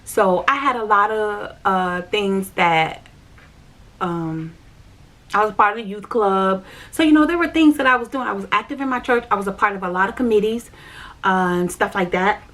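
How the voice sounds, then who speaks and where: A woman's voice: mid-range voice, normal speed, a bit bright. A woman chatting on her vlog, telling a personal church story. She repeats herself and talks straight to her viewers. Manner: chatty, repetitive, addresses viewers directly. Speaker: vlogger.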